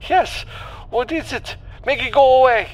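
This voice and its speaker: A man voicing a panicky, squeaky ship mechanic who is in over his head, in a science fiction audiobook. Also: unhurried. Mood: panicked. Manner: nervous, pleading.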